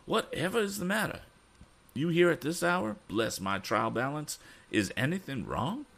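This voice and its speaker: Man reading excitable older gentleman, high and flustered, exclamatory. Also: normal speed, creaky, heavily acted. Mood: alarmed.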